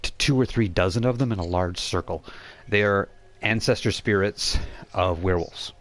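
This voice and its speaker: A man with a low voice acts as game master for a tabletop game. He improvises his narration in a halting way, often circling back to restart a phrase. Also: a bit bright.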